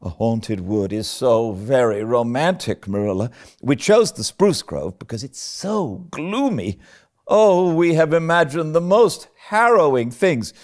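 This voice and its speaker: A man's voice: medium pitch, expressive, measured pace, darkish tone, voice pushed up, lightly acted. A man voicing an eager, imaginative young girl in a storybook, breathless, pleading and melodramatic. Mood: dramatic, fearful. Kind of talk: storybook character reading.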